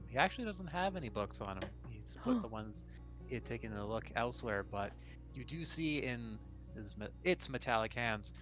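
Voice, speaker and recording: A man's voice: fairly low pitch, a bit bright, muffled recording. A man improvising descriptive narration in a tabletop role-playing game, feeling his way through the scene. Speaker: game player.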